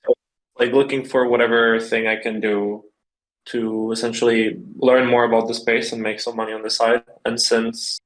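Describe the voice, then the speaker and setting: Rambling young man, flat voice. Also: mid-range voice, normal speed, low-quality recording. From Czech Republic. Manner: loose, rambling, many fillers like "like" and "um". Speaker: guest. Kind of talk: casual interview answers.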